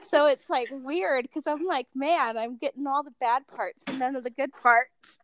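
A woman's voice: high-pitched, steady tone, normal speed, slight background noise, rough recording. A young woman from Ohio hosting a podcast, chatty and giggly, saying "like" a lot and sounding a bit under the weather. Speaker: podcast host. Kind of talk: casual chat.

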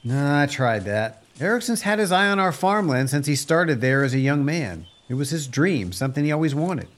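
A man's voice: fairly high pitch, very animated, moderate pace. A man playing an earnest, plain-spoken young rancher in a family radio play, with rueful country phrasing. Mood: downcast.

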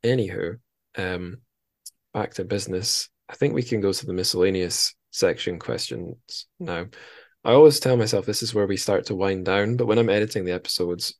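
Male podcast host, low register, chatty and self-deprecating, loosely rambling delivery. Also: normal speed. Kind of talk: casual conversational interview.

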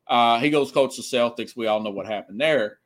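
A man's voice: mid-range voice, brisk, a bit bright. A man in his forties hosting a sports talk show, giving casual, emphatic opinions and telling anecdotes in a conversational way. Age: 40s.

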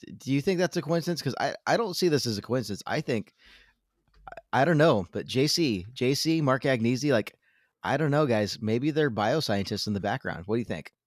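A man's voice: medium pitch, lively intonation, fairly quick, no echo, clean studio sound. A man co-hosting a podcast in casual chat, playful and stammering through mock-conspiratorial theories. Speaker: podcast co-host.

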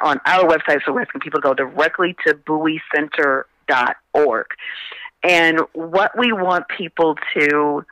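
A man's voice: a little high, bright, forceful tone, dry recording, rough recording. A man in a radio interview, speaking in an earnest, emphatic way and often circling back to rephrase his point. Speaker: radio show guest, stage play promoter. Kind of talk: conversational radio interview talk.

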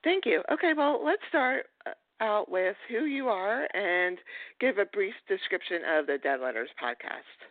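A woman's voice: medium pitch, sing-song, normal speed, dry recording. A woman hosting a podcast interview, asking friendly questions with hesitant ums. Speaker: podcast host. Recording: dry, tinny phone-like line.

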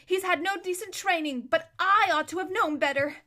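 A woman voicing a proud, impatient noble girl who speaks in a brisk, commanding way. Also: very high-pitched, bright, forceful tone, pitched above the performer's own voice. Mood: self-reproaching.

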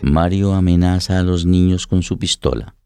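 A man's voice: low-pitched, normal speed, mellow, slight background noise. A man delivering dramatic scripted narration for a radio play.

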